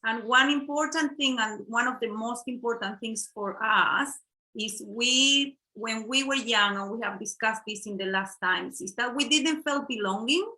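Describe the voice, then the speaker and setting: A middle-aged woman from Chile, co-hosting an online workshop over a video call in a halting, casual way with fillers and restarts. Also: fairly high pitch, sharp tone, rough recording. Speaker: workshop co-host.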